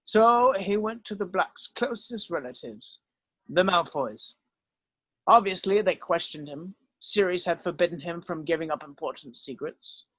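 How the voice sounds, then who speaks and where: A man voicing a weary, gently remorseful old wizard headmaster in a script read-through, speaking in a measured, solemn way. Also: high-pitched, animated, dry recording, exaggerated voice. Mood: solemn, explaining.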